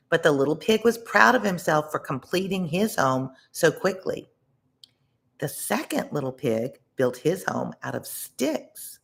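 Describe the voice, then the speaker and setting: A read-along storyteller reading a children's tale expressively and deliberately, pausing to explain words simply like a tutor. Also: medium pitch, creaky, fairly bright tone.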